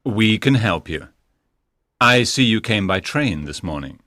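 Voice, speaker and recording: Guy doing a gentleman detective for an audiobook, low voice, lots of melody, calm n polite, very dry sound. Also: no echo, full character voice. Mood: gentle, reassuring. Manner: polite, measured, reassuring. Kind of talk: dramatised audiobook reading.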